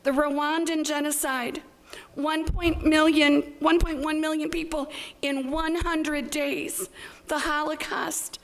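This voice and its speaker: A woman giving a halting, plain-spoken public comment, partly read out, at a council meeting. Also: high voice, gravelly, a bit bright, rough recording.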